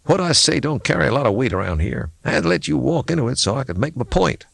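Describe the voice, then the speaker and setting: Male narrator as folksy backwoods man in a thriller audiobook: needling, insistent, raised pitch, lively swoops. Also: quick pace, vocal fry, husky, dry recording, studio quality, heavily acted. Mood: unruffled. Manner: folksy, needling rural speech. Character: unruffled, sardonic backwoods man, insistent and preachy. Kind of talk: audiobook character dialogue.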